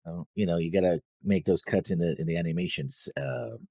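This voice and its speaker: A man co-hosting a reaction show in casual chat, halting and often trailing off. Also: low voice, warm tone, breathy edge, no echo, studio quality. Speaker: co-host of anime reaction show.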